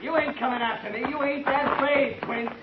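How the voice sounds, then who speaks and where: A man's voice: very high-pitched, steady tone, moderate pace, far from the mic, heavy background noise. An actor playing a bitter, vengeful frontier gunman who is a coward at heart, taunting in a menacing drawl in a 1950s radio drama. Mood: scornful. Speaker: Patchen. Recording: dull echoey old radio recording, off-mic.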